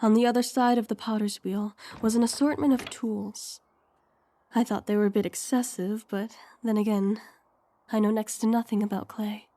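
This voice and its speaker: A woman's voice: medium pitch, steady tone, moderate pace, warm tone, slight background noise, natural voice. A woman playing a curious young recruit who narrates her own story in an audio drama. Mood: reflective. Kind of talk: first-person scripted narration, audio drama.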